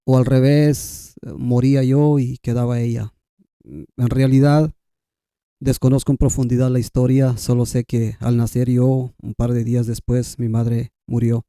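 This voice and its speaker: A Guatemalan man with K'iche' as his mother tongue tells his own story in a conversational way. His voice is very soft-edged and dark, at an average male pitch. The recording is dry and close. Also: flat delivery, unhurried, smooth, no echo. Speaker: podcast guest.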